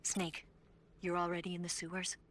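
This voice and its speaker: Low-voiced female spy played by an actress, noisy background. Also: monotone, normal speed, a bit bright, lightly acted. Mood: serious, urgent. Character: young female spy, capable, secretive, urgent ally. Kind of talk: video game cutscene acting.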